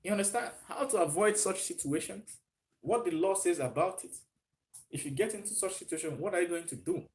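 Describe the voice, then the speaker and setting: A man's voice: high-pitched, brisk, low-quality recording. A man hosting a live stream, thinking aloud with frequent fillers and answering viewer comments. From Nigeria.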